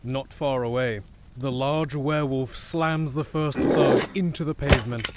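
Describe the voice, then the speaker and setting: A man's voice: medium pitch, steady tone, measured pace, a little hiss or hum, close to an everyday voice. A man reading dramatic scripted narration for an audio drama as its narrator.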